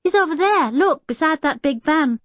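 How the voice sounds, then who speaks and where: A woman voicing an excitable, brash small circus boy in a children's storybook. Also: high-pitched, normal speed, dry recording, studio quality, pitched above the performer's own voice. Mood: offhand, knowing. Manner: excitable, brash, rustic phrasing.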